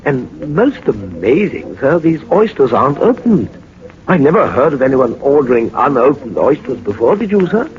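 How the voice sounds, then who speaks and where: An actor playing a loyal, anxious manservant sidekick in a 1940s radio drama, polite, earnest and deferential. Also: male voice, mid-range voice, very animated, moderate pace, natural voice. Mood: earnest surprise. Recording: muffled, noisy 1940s radio recording.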